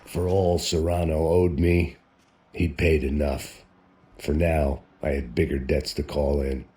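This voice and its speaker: An actor playing a weary, hard-bitten ex-cop narrating in a sardonic noir voice-over. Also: male voice, a bass voice, little pitch movement, a little slow, mellow, slight background noise. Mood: grim.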